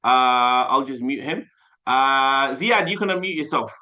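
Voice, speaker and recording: Animated bright-voiced man coaching a class. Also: mid-range voice, a little slow, lo-fi sound. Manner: animated, repeats phrases, frequent "like" and "uh". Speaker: sales coach running an online class. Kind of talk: casual live coaching session. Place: online video call. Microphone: video call mic.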